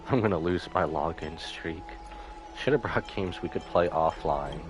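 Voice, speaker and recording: A man's voice: low voice, measured pace. A man live-streaming a story game, reading dialogue aloud and adding casual asides. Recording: music behind the voice.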